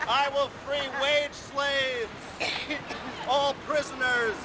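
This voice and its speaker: A hoarse man giving a deadpan mock campaign speech outdoors on a city street. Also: very high voice, extremely slow. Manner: deadpan comic oratory, chanting slogans. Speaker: mock presidential candidate. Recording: loud crowd and street noise.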